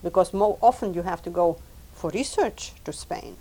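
A woman whose first language is Lithuanian, a professor and translator, talking in a measured, thoughtful way and repeating words as she thinks. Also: on the low side, lively intonation, mellow, husky. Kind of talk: recorded oral history interview.

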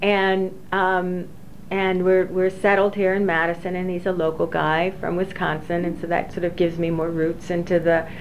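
A woman in her forties, an engineering professor, recalling her career slowly with many fillers and restarts. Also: lowish voice, level tone. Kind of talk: oral history interview. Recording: dull lo-fi recording with faint hiss.

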